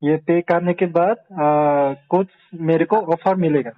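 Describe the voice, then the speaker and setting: Man on a phone call asking questions, kinda unsure, simple casual talk, slightly high voice, tinny phone audio. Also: fairly even intonation, slow pace. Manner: hesitant, questioning, plain-spoken. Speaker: call recipient questioning the agent. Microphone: phone line.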